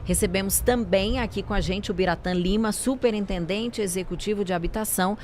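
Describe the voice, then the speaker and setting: A woman's voice: mid-range voice, fairly quick, a little mellow. A woman with a Brazilian accent hosting a conversational podcast interview. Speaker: podcast host. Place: convention centre trade fair. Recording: faint event hall background noise.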